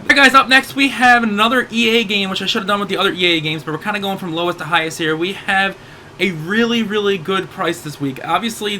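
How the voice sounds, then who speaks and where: Hoarse, bright-voiced gaming host. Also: male voice, a little high, a little hiss or hum. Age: 30s. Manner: rambling, self-correcting, upbeat. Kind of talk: casual game sale rundown.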